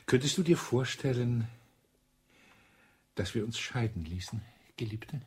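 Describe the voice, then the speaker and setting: A smooth, scheming husband in a radio drama. His voice is deeply husky and unhurried, and it glides up and down with mock tenderness. He sounds like a man with many dead wives behind him. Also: animated, a little mellow. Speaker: actor.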